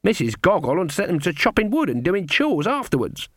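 A stern old country witch, played by a male narrator, stubborn and sharp-tongued, given to muttering and snapping. The speech is rustic and blunt, in a dry, close storybook recording. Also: sits high, sing-song, fairly quick, slightly rough, no echo, voice pushed up, heavily acted. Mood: muttering, disapproving.

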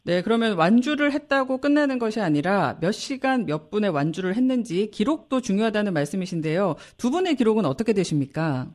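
Lively, polite radio interviewer. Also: medium pitch, a little fast, few pauses. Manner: polite, smooth broadcast delivery.

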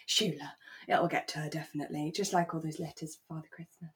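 A woman's voice: deep voice, steady tone, fast, a crowd in the background. A British woman chatting informally as the co-host of a podcast. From England. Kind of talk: relaxed chat discussing a radio drama.

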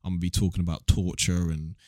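A young man with a southern English accent and a low-pitched voice talks casually on a podcast. He is blunt and slangy, swearing freely, and the sound is dry with little room to it. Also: level tone, fast, a little mellow, no room sound. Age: young adult. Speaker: podcast guest, online video creator.

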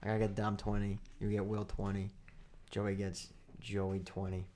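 A man hosting a podcast in casual chat, playfully argumentative and animated, talking into a studio mic. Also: fairly low pitch, unhurried, a little hiss or hum. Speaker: podcast host.

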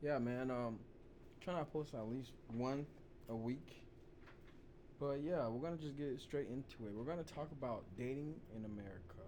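A man hosting his own vlog, hoarse from losing his voice, rambling loosely in casual talk full of "you know" and "like". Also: mid-range voice, normal speed, warm tone, a little hiss or hum. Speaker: vlog host. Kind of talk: casual opinion talk to camera.

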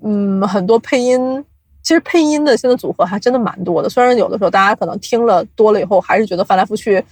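A woman's voice: mid-range voice, fast, dry recording. A woman speaking casually as an interview guest, thinking aloud and explaining how her industry works. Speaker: guest, audio drama producer and company chief. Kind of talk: casual interview talk about the audio drama industry.

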